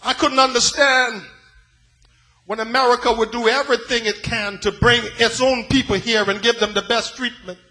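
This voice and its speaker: A man from West Africa preaching an impassioned sermon, dramatic, moving between hushed gravity and loud, repeated cries. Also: very high voice, steady tone, moderate pace, poor audio. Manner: dramatic, swinging from hushed grave passages to shouted repetition.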